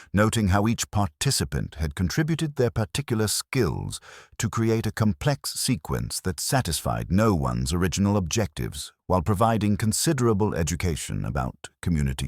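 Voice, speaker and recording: Really low voiced guy reading a mystery story, formal and measured, lots of intonation. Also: sing-song, no room sound, studio quality. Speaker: narrator. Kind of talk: scripted mystery story narration.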